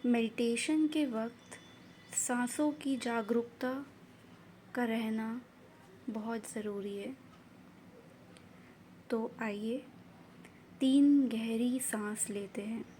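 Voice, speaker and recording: A woman guiding a meditation in a gentle, soothing manner, with short unhurried phrases of instruction. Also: on the high side, steady tone, rough recording. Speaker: meditation guide. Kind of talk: guided meditation narration. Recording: faint background noise and ambience.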